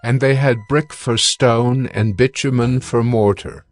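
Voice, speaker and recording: A man reading scripture as a narrator, with formal, measured storytelling. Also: medium pitch, steady tone. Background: faint music.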